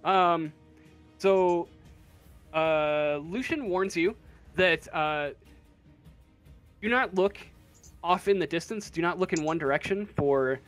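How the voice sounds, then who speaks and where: A man running a tabletop game as game master, narrating off the cuff in a halting, casual way as he searches for words. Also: a little high, a bit bright, faint music behind.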